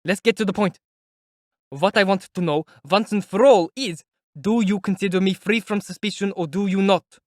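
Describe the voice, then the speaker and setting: A man voicing a proud, feverish young male student who shouts in strained, defiant fury. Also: sits high, normal speed, no echo, clean studio sound, pitched above the performer's own voice, lightly acted. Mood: insistent. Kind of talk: audiobook character voice.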